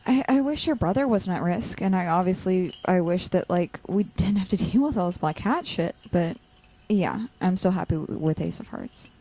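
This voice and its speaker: A woman's voice: fairly low pitch, expressive, moderate pace, few pauses, mellow, low-quality recording. A young woman playing an anxious, sardonic college-student supervillain in a naturalistic audio drama. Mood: earnest. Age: young adult.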